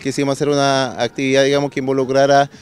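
A man's voice: mid-range voice, level tone, quick pace, clear, resonant tone. A middle-aged man with a Chilean accent giving an outdoor interview as a company manager. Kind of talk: on-camera interview. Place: outdoors on a lawn. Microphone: handheld reporter microphone.

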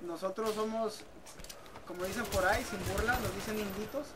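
A Mexican-accented gamer talks casually over voice chat. The speech is slangy, questioning and hesitant, at a middling pitch. Game gunfire and clear noise run behind it. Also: normal speed. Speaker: online gamer, video maker. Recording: noisy game audio with gunfire. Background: video game gunfire.

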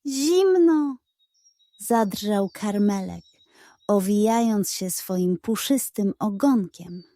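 A woman narrating a children's bedtime story from a script, expressive and carefully enunciated. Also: on the high side, slow, dry recording.

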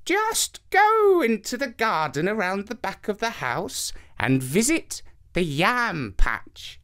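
A man voicing a commanding old woman who gives slow, deliberate instructions in a storybook tale. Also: high voice, fairly bright tone, voice pushed up, full character voice. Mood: instructing. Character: commanding old woman giving strange errands.

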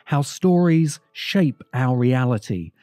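A man narrating a scripted video essay in a measured manner, pausing for emphasis. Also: medium pitch, animated, mellow, no echo, clean studio sound. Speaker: host and narrator. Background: music.

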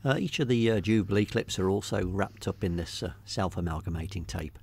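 A middle-aged man with an English accent giving a casual, unscripted voice-over walkthrough, hesitating and pausing often. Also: sits low, darkish tone, breathy edge. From England. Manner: hesitant, unscripted delivery with many pauses. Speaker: hobbyist video narrator.

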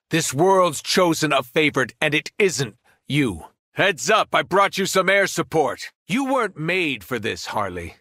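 A fallen alien superhero in a video game, menacing and arrogant. His fairly high, forceful voice swings widely as he delivers cold, mocking threats in a dry, close recording. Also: dry recording, heavily acted. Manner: taunting, cold mockery, grandiose threats. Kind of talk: video game villain voice acting.

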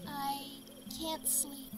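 A woman playing a quiet, defiant teenage girl in an audio drama, speaking tersely. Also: high-pitched, sing-song, extremely slow, exaggerated voice. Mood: quiet, stubborn. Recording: music behind the voice.

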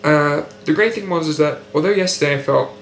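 A young British man with a blocked, nasal voice, speaking in an articulate, measured way for a recovery video diary. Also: a little high, fairly bright tone. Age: young adult. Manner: articulate, precise, unhurried. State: nasal, blocked nose after surgery. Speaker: patient keeping a recovery diary. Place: indoor room at home by a window. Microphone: built-in webcam mic, close framing. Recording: echoey room with faint hum.

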